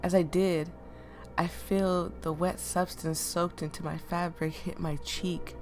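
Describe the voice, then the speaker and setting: Deep, creaky-voiced woman sounding sick. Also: little pitch movement, unhurried, faint music behind. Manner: chatty, easily amused, stumbles when reading aloud. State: sick, voice affected. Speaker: host of a game let's play. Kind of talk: casual let's play chat mixed with reading game text aloud.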